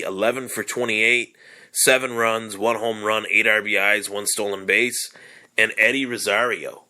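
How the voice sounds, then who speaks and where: Young man hosting a podcast solo, a bit hoarse and raspy, lowish voice, loose and rambling. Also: sharp tone. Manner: rambling, with many fillers and restarts. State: hoarse from yelling. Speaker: podcast host. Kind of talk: casual solo sports podcast.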